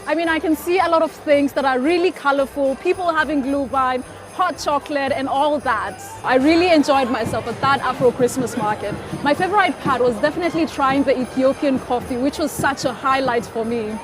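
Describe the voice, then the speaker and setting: A woman's voice: high-pitched, brisk, hardly any pauses. A woman hosting an on-location travel report at an outdoor Christmas market, upbeat and chatty. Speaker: host. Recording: live music behind.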